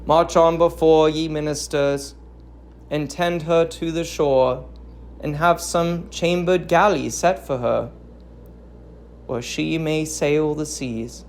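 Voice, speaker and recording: A stern king of Greek tragedy, put on by a woman, low in pitch and gravelly. He declaims slowly and commandingly, a wronged husband full of wrath. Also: fairly even intonation, darkish tone, a little hiss or hum. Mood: grim judgment.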